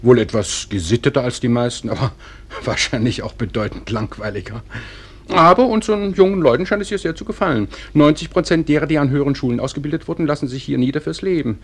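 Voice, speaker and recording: Husky, dry small-town publisher. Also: male voice, mid-range voice. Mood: wry, easygoing. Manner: dry, unhurried, hesitating. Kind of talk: German radio play acting.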